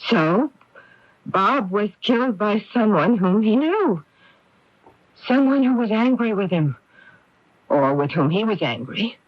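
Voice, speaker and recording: A sharp-tongued, self-justifying middle-aged career woman in a radio mystery. She speaks with theatrical emphasis and a worldly, evasive air, on a dry, slightly dull broadcast recording. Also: mid-range voice, expressive, slow pace, pauses often, no room sound. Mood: persuasive, defensive. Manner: theatrical, emphatic, repeats words. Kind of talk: 1980s radio mystery acting.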